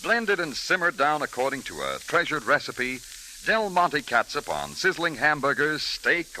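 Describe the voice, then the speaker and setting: A man's voice: medium pitch, bright, forceful tone, slight background noise, rough recording. A man announcing on vintage radio, reading sponsor spots and credits with polished, emphatic salesmanship. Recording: old radio broadcast recording.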